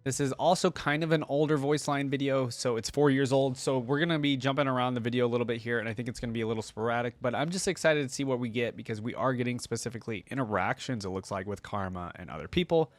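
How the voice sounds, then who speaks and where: A man's voice: medium pitch, fast, few pauses, no room sound. Enthusiastic casual man reacting on video. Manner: enthusiastic, informal. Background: faint music, game voice lines.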